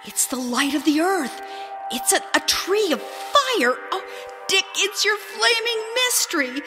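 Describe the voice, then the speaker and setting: A woman playing a dreamy, tender wife and mother in an audio drama, speaking in halting, breathless exclamations as if seeing visions. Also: extremely high pitch, very animated, exaggerated voice. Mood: rapturous awe. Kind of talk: emotive audio drama acting. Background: loud music.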